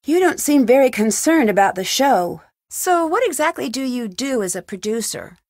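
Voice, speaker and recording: A woman's voice: high voice, sing-song, normal speed, a little mellow, no echo, clean studio sound. An actress voicing a curious, composed young female detective in a video game, asking polite but pointed questions. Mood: inquisitive, composed.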